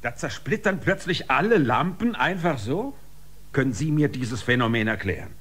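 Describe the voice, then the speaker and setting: An actor playing a stern, threatening spaceship commander in a sci-fi radio drama, husky and commanding. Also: male voice, mid-range voice, lively intonation, moderate pace, a bit bright. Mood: cold, threatening. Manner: commanding, imperious.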